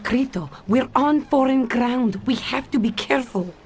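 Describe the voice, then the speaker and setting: A woman's voice: sits high, normal speed, darkish tone, faint music behind, close to an everyday voice. A woman playing a shrewd Soviet spy in a video game, speaking with a Russian accent in a guarded, wry way. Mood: patient, cautioning. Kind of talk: video game cutscene voice acting.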